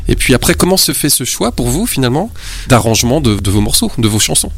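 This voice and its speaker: A man's voice: mid-range voice, big pitch swings, fast, breathy edge. A man interviewing a musician, asking polite, formal questions. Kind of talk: radio-style interview questions.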